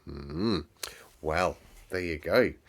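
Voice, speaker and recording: A man's voice: deep voice, extremely slow. A man hosting storytime, reading expressively and talking informally to his listeners. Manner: animated, informal, addresses listeners directly. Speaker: storytime reader and host. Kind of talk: expressive children's storybook read-aloud with chatty asides.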